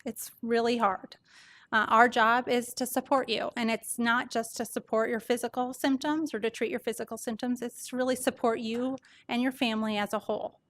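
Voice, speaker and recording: A soft-voiced woman doctor gives an informal medical presentation to an audience, explaining things conversationally. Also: on the high side, fairly even intonation, moderate pace. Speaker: palliative care doctor presenting.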